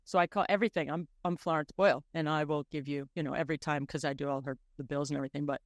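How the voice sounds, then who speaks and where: A woman's voice: low voice, fairly even intonation, very fast, dry recording, clean studio sound. Playful woman podcast co-host. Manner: playful, jokey banter. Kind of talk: casual chat.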